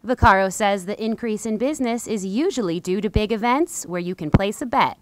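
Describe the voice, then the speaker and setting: A woman's voice: medium pitch, a little fast, noisy background. A woman reporter delivering scripted news narration for a television package.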